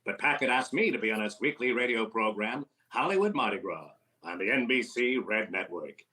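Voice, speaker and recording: A man's voice: lowish voice, lively intonation, a little fast, sharp tone, low-quality recording. A man playing a sardonic, wisecracking vaudeville-style comedian in a 1930s radio comedy. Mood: wry, mocking.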